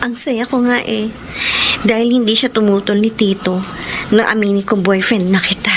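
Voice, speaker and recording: A woman's voice: medium pitch, level tone, moderate pace, a little hiss or hum, lightly acted. A woman playing a sweet, hesitant young Filipino college girlfriend in a radio drama. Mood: sweet, happy. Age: young adult.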